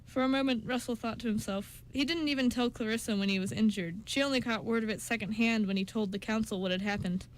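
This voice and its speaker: A woman's voice: a little high, fast, few pauses, a little hiss or hum, low-quality recording. A woman reading scripted story narration as the narrator of a radio drama.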